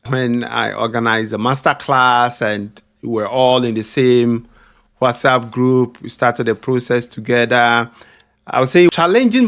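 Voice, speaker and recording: A man hosting an academic interview podcast, friendly and encouraging as he introduces and questions his guest. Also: medium pitch. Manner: friendly, encouraging, laughs along. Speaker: podcast host.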